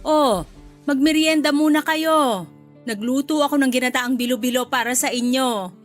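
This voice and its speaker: A woman's voice: high voice, moderate pace, faint music behind, lightly acted. A woman playing a doting future mother-in-law.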